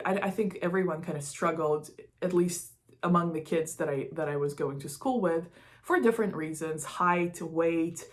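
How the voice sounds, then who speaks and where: Woman vlogger from russia, kinda low voice, casual confessional chat, candid and emphatic on key words. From Moscow, Russia. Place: studio, indoors.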